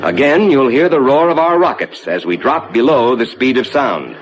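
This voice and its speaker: An American man playing a rocket ship captain, narrating a scripted 1950s ride to his passengers in a measured, reassuring, explanatory way. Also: animated, close to an everyday voice. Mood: calm, reassuring. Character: assured male rocket ship captain guiding passengers through space. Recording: dull 1950s soundtrack with loud rumbling noise.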